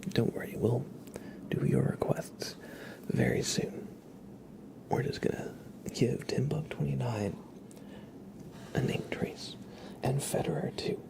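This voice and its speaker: A man hosting an ASMR livestream, speaking in a hushed, breathy whisper as he chats with viewers. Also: mid-range voice, slow, long pauses, poor audio. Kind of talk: ASMR, live chat with viewers.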